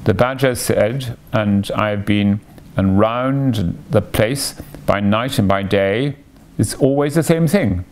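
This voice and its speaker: A man's voice: fairly low pitch, slow. A man voicing a grumpy, blunt, exclamatory mole in an animal storybook. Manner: grumbling, exclamatory.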